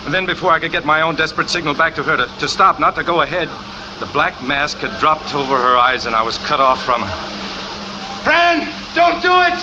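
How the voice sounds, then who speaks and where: An actor playing a brooding trapeze artist who confesses in an intense, dramatic first-person radio thriller narration. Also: male voice, on the high side, animated, moderate pace, muffled recording, background noise, natural voice. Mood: desperate. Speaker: narrating lead character.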